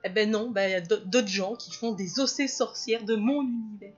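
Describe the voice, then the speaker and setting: A woman's voice: medium pitch, steady tone, normal speed, dull audio, soft background music. Chatty woman narrating her drawing. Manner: chatty, rambling, laughs often. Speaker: illustrator and video host. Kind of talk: casual drawing commentary.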